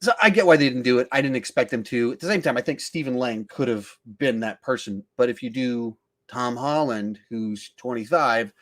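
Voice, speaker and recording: Man, mid-pitched voice, podcast host, casual discussion, animated asides. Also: moderate pace.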